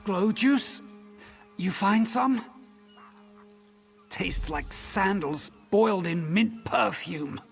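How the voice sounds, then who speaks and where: A man's voice: sits high, expressive, extremely slow, gravelly, pauses often, faint music behind, full character voice. A man voicing a battered, stoic elf marine in a fantasy video game, speaking in a terse, pained, dry way.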